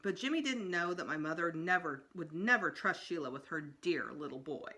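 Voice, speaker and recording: A woman's voice: lowish voice, lively intonation, moderate pace, pushed and bright. A woman reading a children's book aloud as a storytime narrator, expressively. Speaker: storytime reader.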